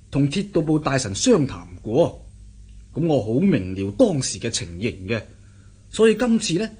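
An actor playing a self-assured, chatty government interpreter in a radio drama, explaining things in a confiding manner. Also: male voice, on the high side, darkish tone, breathy edge. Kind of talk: Cantonese radio drama acting.

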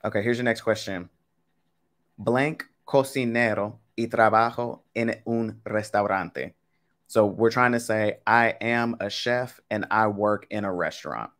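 A man's voice: on the low side, unhurried, a bit bright. A young man teaching a live online Spanish lesson, chatty and casual, talking straight to his viewers from a home webcam. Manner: casual, chatty, talks to chat with y'all. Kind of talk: live-streamed game lesson.

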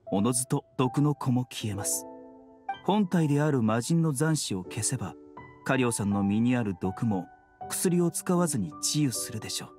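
A man voicing a gentle, composed young healer in an anime game, speaking politely and formally. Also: mid-range voice, close to an everyday voice. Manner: polite, soft-spoken, unhurried, formal. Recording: studio voice over background music.

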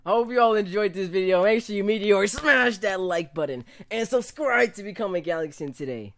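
A young man reacting casually to a video in a small room at home, excitable and often repeating himself. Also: sits high, fairly quick, creaky. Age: young adult. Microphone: studio microphone with pop filter.

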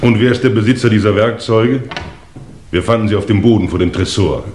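An actor playing a sober police inspector in a 1950s German radio crime play, clipped and businesslike. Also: male voice, fairly low pitch, fairly quick, darkish tone, reverberant, close to an everyday voice. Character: terse, sober Scotland Yard police inspector. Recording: old noisy 1950s radio recording.

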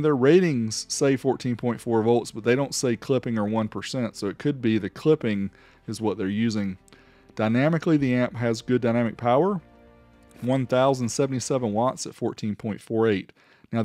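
A man's voice: medium pitch, darkish tone, no room sound. Man narrating a product test, close dry sound. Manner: matter-of-fact, explanatory, reading out figures. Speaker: host of an audio gear review channel.

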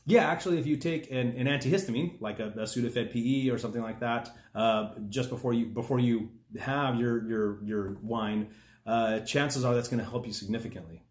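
A man's voice: medium pitch, a little fast, darkish tone. Guy in his 40s explaining wine stuff, lots of restarts, echoey room, phone across the table. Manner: friendly, explains step by step with frequent restarts. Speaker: winemaker answering viewer questions. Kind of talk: casual explanatory chat. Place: dining room at a table, indoors.

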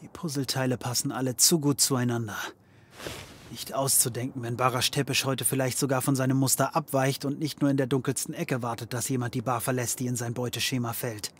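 An actor playing a cocky, scheming occult detective in a comedy audio drama, wry and theatrical. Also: male voice, mid-range voice, little pitch movement, brisk, slight background noise, close to an everyday voice. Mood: grave, urgent. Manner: wry, theatrical, mock-patronising.

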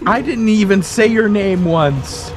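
Man, extremely high pitch, unhurried, casual gamer talk, short repeated phrases, swearing, music behind. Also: animated. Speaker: gamer playing co-op horror game. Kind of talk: casual gaming chat.

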